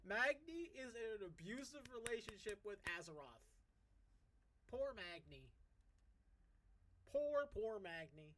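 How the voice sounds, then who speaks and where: A man's voice: high voice, very animated, slow, long pauses, fairly bright tone, low-quality recording. A man giving jokey, mocking playthrough commentary as a gaming YouTuber. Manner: mocking, jokey, laughs often.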